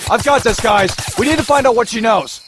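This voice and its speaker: A man playing a brash, sarcastic young male antihero in an animated comic adventure. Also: very high-pitched, brisk, noisy background. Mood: sardonic, defensive. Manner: sarcastic, sardonic.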